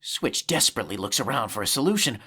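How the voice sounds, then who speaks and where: A man's voice: lowish voice, very animated, quick pace, pushed and bright, breathy edge. A man reading scripted narration for a sci-fi audio drama, with some ambient noise behind him.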